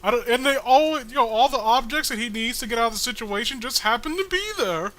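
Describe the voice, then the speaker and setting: Flippant infernal clerk played by a man for a comic reading: high, sharp, curtly dismissive. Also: lively intonation, fast talker. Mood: brusque, smug.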